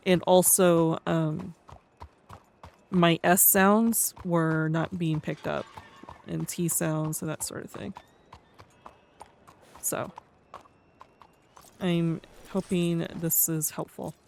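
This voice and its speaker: Roleplay game streamer, medium pitch, casual delivery, speech impediment, stumbles and mumbles a little. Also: little pitch movement, slow pace, creaky, long pauses, a little hiss or hum. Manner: tongue-tied, mumbly, stumbles over words. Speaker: streamer playing a roleplay character. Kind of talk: casual game livestream commentary, reading aloud.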